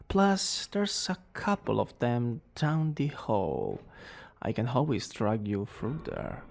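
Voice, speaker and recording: Casting: male actor as smug young student villain for romantic ASMR; creaky, whispery husk, teasing and unhurried. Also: medium pitch, exaggerated voice. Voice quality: breathy, husky, often dropping to a whisper.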